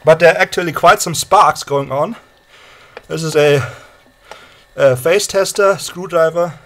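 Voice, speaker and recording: A man casually presenting a hands-on electronics demonstration in a halting, explanatory way, recorded on a handheld camera in a room at home. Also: on the high side, fairly bright tone. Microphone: handheld camera held by the speaker.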